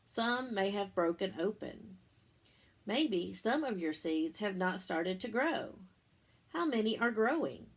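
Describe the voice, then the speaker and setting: A woman reads a children's book aloud at a slow, measured pace. Her mid-pitched voice has a noticeable creak. She phrases things simply and deliberately, like a teacher explaining to young listeners. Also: expressive. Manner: simple, deliberate reading, pausing between phrases, asking listeners questions. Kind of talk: read-aloud storytime with explanatory asides.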